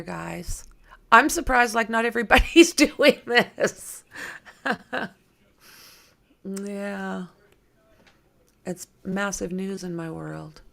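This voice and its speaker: A woman with a creaky voice hosting a true crime livestream, reading aloud and chatting informally with viewers as she thinks aloud. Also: medium pitch. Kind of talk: reading documents aloud with casual asides to viewers.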